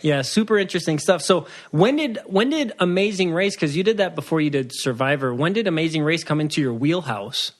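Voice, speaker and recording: A man's voice: on the high side, expressive, a little fast, few pauses. A man from Puerto Rico co-hosting a podcast, asking friendly, rambling questions and laughing along with his guest. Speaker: podcast co-host. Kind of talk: casual interview.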